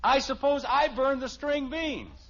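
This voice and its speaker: A man's voice: very high voice, very animated, measured pace, fairly bright tone, heavily acted. A man playing an exasperated, self-important middle-aged American husband and father in a 1950s radio sitcom, blustering and sarcastic. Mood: grumbling. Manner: blustering, pompous speechifying broken by sarcastic grumbling. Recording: dull, hissy old radio recording.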